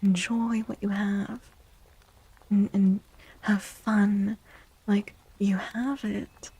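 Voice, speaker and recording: Soft-spoken breathy woman with creaky fry. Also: mid-range voice, little pitch movement, no room sound, low-quality recording. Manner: gentle, slow, repeats phrases, often slipping into whispers. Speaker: streamer and tarot reader. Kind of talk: soft-spoken ASMR livestream with casual chat.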